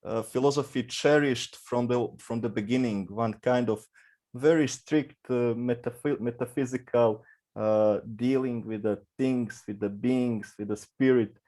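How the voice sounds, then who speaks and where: A man asking questions at an academic round table, halting and searching for his words. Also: medium pitch, steady tone, dark tone. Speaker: panel moderator.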